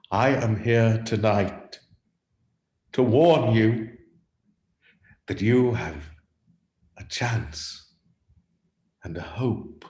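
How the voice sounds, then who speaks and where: A tormented male ghost declaims slowly in a roomy echo. His grave, remorseful words swell and sink with theatrical weight, in the manner of a radio play. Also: on the low side. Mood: solemn warning. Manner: solemn, drawn-out declamation with big swells and hushed drops. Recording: roomy, echoing sound.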